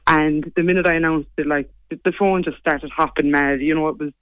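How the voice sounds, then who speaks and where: A young Irish woman with a low voice, chatting quickly as a singer guest in a casual interview. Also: fairly even intonation, dry recording, muffled recording. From Ireland. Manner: quick, chatty, lots of "like" and "you know".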